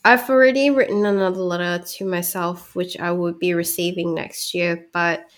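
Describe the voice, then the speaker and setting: Reflective woman podcast co-host, low voice. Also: fairly even intonation, normal speed. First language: Cantonese. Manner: chatty, laughs often, reflective. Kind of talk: casual podcast chat.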